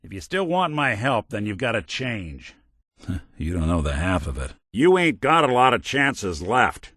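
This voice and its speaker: A man's voice: lowish voice, sing-song, measured pace, fairly bright tone, no echo, studio quality, exaggerated voice. An actor voicing a world-weary male synth detective in a video game, a robot carrying an old cop's memories, talking in a hard-boiled drawl. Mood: stern. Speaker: companion character.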